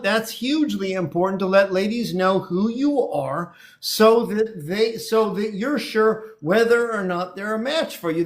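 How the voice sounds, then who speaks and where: An energetic man hosting a live online dating show. His voice sits high for a man, and he talks in an upbeat, encouraging, chatty way as he greets viewers and interviews callers. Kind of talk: live-stream chat, reading viewer comments.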